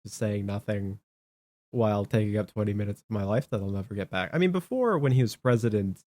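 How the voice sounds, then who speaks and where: Low-pitched man, casual podcast host. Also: a little fast, a little mellow. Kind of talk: casual political chat.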